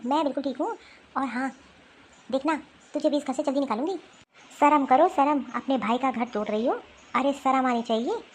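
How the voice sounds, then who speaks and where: A woman voicing an angry, sharp-tongued woman scolding relatives in a family drama cartoon. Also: high-pitched, slight background noise. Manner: scolding, indignant. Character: angry, sharp-tongued Indian women quarrelling in a family feud.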